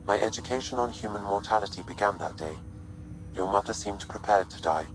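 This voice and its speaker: A man voicing a calm artificial intelligence companion in a video game, with formal, measured delivery. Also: on the low side, lightly acted, poor audio. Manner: formal, measured, even-tempered. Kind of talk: scripted video game dialogue. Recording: clear background noise.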